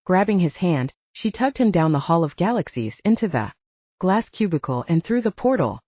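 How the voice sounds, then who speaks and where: Female story narrator, warm dark tone, lively intonation, dramatic scripted reading, very dry sound. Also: fairly low pitch, normal speed, breathy edge, no room sound. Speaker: audiobook narrator. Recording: slightly dull, dry close recording.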